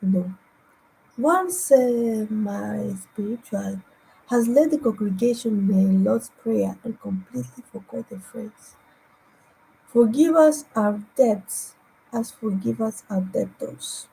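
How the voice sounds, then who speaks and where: A woman evangelist whose first language is Greek, preaching online in a halting way, repeating words and humming between thoughts. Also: mid-range voice, vocal fry, warm tone, poor audio. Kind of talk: online sermon with anecdotes.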